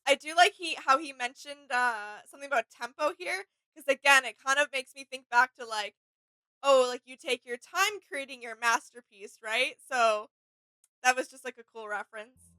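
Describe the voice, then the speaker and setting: A woman's voice: sits high, moderate pace, sharp tone, no echo, low-quality recording. A woman co-hosting a casual, conversational reaction show.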